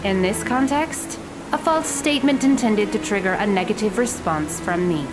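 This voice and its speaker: A woman playing a guarded high school girl who speaks in precise, matter-of-fact and formal words in an audio drama. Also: moderate pace, over loud music, natural voice. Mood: cool, indifferent.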